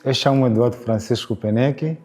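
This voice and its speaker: A local church leader in his thirties with a Mozambican Portuguese accent, introducing himself in an interview in a measured, slightly halting way. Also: mid-range voice, darkish tone, soft background music. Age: 30s.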